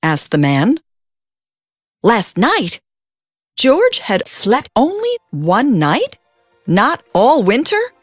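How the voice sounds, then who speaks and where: A woman reading a children's storybook aloud as an expressive narrator, with deliberate phrasing and drawn-out emphasis. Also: medium pitch, dry recording.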